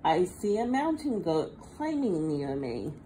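A woman reads a picture book aloud for little children in a sing-song, repetitive rhythm. Her voice is soft-edged and mellow, with very lively swings of pitch. It is recorded indoors with faint room noise behind her. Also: a little slow, rough recording. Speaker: children's storybook reader. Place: indoors at a table.

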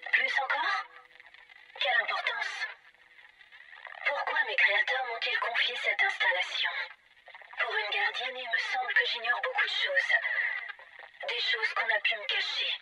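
An actress playing a melancholic, pensive AI guardian in a video game, speaking in a measured, questioning, philosophical way through a synthetic processed effect. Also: female voice, very high-pitched, expressive, vocal fry, music in the background, full character voice, rough recording. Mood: wistful, questioning. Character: melancholic, pensive female AI guardian of an ancient alien installation. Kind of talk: scripted dramatic game monologue.

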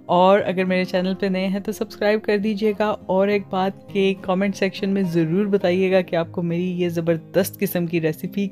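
A woman's voice: medium pitch, a little mellow. A woman with a Pakistani accent hosts a cooking tutorial in voice-over, mixing English words and phrases into her talk. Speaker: cooking channel host.

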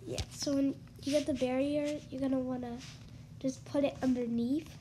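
Young woman, congested stuffy voice, high-pitched, unhurried, noisy background. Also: fairly even intonation. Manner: chatty, hesitant, lots of ums and likes. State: congested from allergies. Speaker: gaming YouTuber. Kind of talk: casual game-build tour voice-over.

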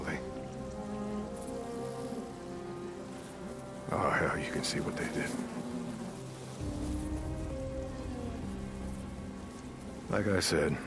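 An older American man playing a weary, peace-minded leader of a survivor camp in a game cutscene, speaking plainly and haltingly. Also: very deep voice. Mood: somber, haunted. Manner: halting, reflective, plain-spoken. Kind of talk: dramatic video game cutscene acting.

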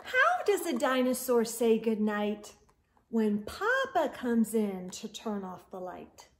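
A woman in her forties reads aloud for young children. Her voice sits high and moves at an unhurried pace. She leans on words with animated, drawn-out emphasis, as a picture-book storyteller does. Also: slight background noise. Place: indoors, small room lined with bookshelves.

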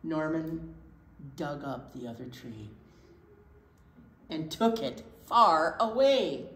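A woman in her sixties reading a picture book aloud to children, with dramatic pauses and emphasis. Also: low-pitched, very animated. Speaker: children's storytime reader. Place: indoor children's library room. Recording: roomy space with faint background noise.

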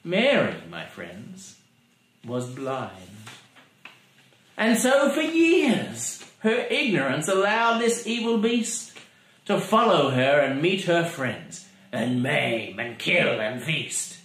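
A man's voice: fairly high pitch, big pitch swings, slow, fairly bright tone. A man in his thirties giving a dramatic, mock-solemn comic poetry reading. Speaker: poetry reader. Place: living room by a fireplace. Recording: roomy camera sound.

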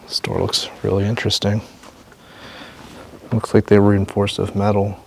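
Low gravelly man exploring a ruin. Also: completely flat delivery, moderate pace, a little hiss or hum. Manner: low-key, observational, thinking aloud. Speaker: urban explorer host. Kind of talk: walking vlog narration. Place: inside an abandoned building.

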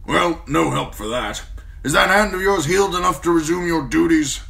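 Brief: male narrator puts on a gruff old commander, brusque and loud, pitched well above his own gravelly voice. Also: moderate pace. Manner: brusque, barking orders. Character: gruff old man, blunt commanding military lord.

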